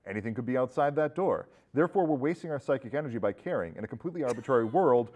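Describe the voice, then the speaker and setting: A man's voice: medium pitch, lively intonation, rapid-fire, darkish tone. Man casually talking movies as host. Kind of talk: casual film discussion.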